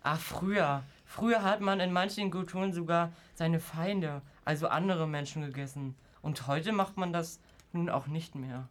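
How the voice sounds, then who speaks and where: A man's voice: fairly high pitch, moderate pace, a bit bright, lightly acted. A young male amateur playing a practical, easygoing father in an audio drama. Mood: matter-of-fact.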